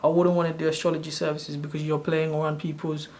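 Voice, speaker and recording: A soft-spoken man in his thirties, originally from Sri Lanka, casually walking through his own screen in a loose, rambling way. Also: a little high, level tone, fast. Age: 30s. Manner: rambling, loose and self-correcting. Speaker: channel host. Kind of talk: casual screen-share walkthrough.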